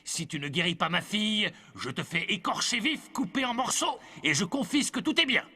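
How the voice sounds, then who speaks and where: A man voicing a blustering, bossy older ruler and impatient father in an animated storybook, with a raspy, gravelly voice. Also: sits high, normal speed, full character voice. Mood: threatening. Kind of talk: French animated storybook dialogue.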